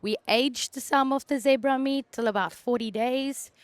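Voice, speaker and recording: A chatty woman from South Africa, Afrikaans her first language, with a fairly high voice. She talks as a guest on a podcast, telling easygoing stories about her work and pets. The sound is close and dry, with no room around it. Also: a little slow, no room sound. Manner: chatty storyteller, laughs between stories. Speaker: guest, animal scientist. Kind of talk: casual chat.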